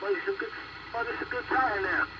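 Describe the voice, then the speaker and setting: A man with a high voice banters casually over CB radio. He comes across distant, with very loud noise on the signal. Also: brisk, muffled recording. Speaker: CB radio operator. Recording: distant CB radio, heavy static.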